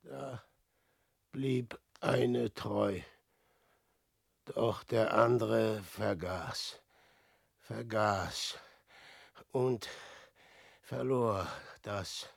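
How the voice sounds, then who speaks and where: An older actor playing an old ruined wanderer, anguished and self-justifying, declaiming in a gravelly, husky voice in a German radio drama. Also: male voice, mid-range voice, a bit bright, dry recording, clean studio sound, full character voice. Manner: declamatory, drawn-out delivery. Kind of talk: theatrical German radio drama acting in verse.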